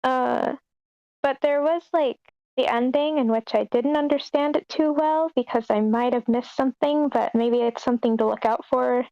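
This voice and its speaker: Casting: woman, informal and a little unsure, strong vocal fry, slightly dull call sound. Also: sits high, steady tone, moderate pace, warm tone, no room sound. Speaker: table read participant giving feedback. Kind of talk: casual spoken feedback. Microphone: online meeting call.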